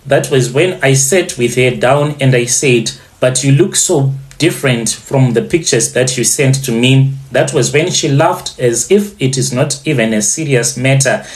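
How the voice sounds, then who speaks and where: Male narrator for first-person dramatic tales, medium pitch, deliberate and theatrical, leaning on repetition for effect. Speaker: storyteller.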